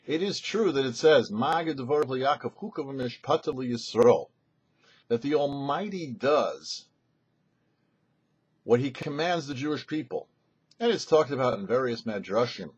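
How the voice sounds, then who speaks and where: A rabbi delivers a weekly Torah lesson, his voice rising and falling in a lively, animated way as he explains each point with measured pauses. His tone is slightly husky, and the recording sounds a little muffled and lo-fi. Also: mid-range voice. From United States. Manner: thoughtful explanatory teaching with pauses. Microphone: studio mic.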